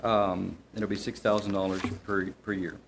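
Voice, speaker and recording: A city staff man presenting an item to a city commission, halting often with ums and repeated words. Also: low voice, flat delivery, vocal fry, noisy background.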